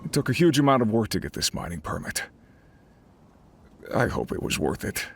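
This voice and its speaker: A man's voice: mid-range voice, very animated, moderate pace, creaky, a little hiss or hum. A man playing a friendly, worried miner and crew boss in a video game, speaking in an earnest, conversational way. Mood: hopeful, grateful.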